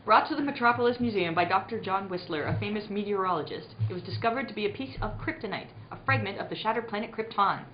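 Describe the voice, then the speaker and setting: A woman's voice: mid-range voice, fast talker, hardly any pauses. A woman narrating a radio play reading in a playful announcer style, giggly and prone to ad-libbed asides. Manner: giggly, breaking into laughter while reading. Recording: echoey room, muffled, noticeable background noise.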